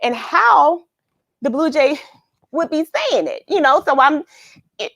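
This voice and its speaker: High-voiced woman hosting children's read-along. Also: a little slow, creaky, dry recording. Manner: expressive, addressing children by name, musing aloud. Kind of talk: casual chat to young viewers after a story reading. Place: at home.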